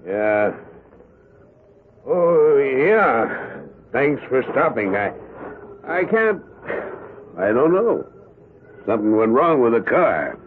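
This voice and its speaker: A man's voice: mid-range voice, big pitch swings, slight background noise. An older man playing an elderly, cold and boastful Nazi war criminal in hiding, in 1970s radio drama style. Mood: nervous, courteous. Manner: halting and courteous, turning menacing and grandiose. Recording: muffled vintage radio broadcast.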